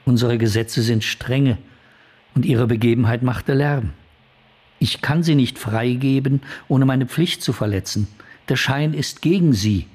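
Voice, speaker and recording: An actor playing an elderly, stern but decent magistrate in a German radio play, husky and measured. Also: male voice, mid-range voice, a little mellow. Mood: conciliatory, measured. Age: older. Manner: official, measured.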